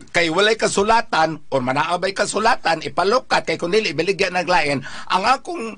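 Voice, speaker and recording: A man's voice: high-pitched, lively intonation, a little fast, sharp tone. A Cebuano Filipino man in a casual panel discussion, explaining things step by step with examples, as a host giving advice.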